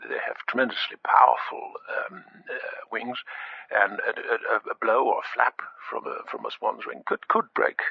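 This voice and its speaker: An actor playing a posh, doddery, maudlin older man calling a spoof radio phone-in, halting and rambling as he goes. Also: medium pitch, sing-song, dry recording, exaggerated voice. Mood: hesitant, earnest. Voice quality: husky, creaky, throat-clearing. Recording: dull band-limited phone-in line.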